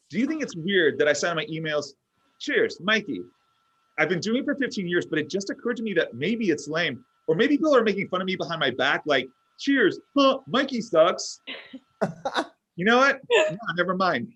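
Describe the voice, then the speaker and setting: A man's voice: high voice, fairly bright tone, breathy edge, exaggerated voice. A man playing an oblivious, self-absorbed boss, needy and boastful, in a comedy table read, blurting and justifying himself. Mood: insecure then smug. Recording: dull lo-fi online call.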